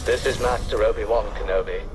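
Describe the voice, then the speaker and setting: An actor playing a dignified Jedi master giving a formal, somber recorded message through a hologram filter in a game. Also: male voice, on the low side, steady tone, fast talker, over music. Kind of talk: scripted video game acting.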